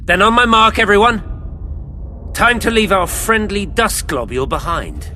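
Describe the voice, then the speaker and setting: Commanding male starship captain, high voice, lively range, clipped orders, music underneath. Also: moderate pace, full character voice. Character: resolute male starship commander, brisk and steady. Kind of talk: sci-fi audio drama acting.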